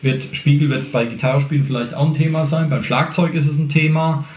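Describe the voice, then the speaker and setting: A man's voice: on the high side, steady tone, brisk, a little mellow. A man hosting a casual podcast chat, explaining like a singing teacher in colloquial, joking language. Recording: roomy, echoey room sound.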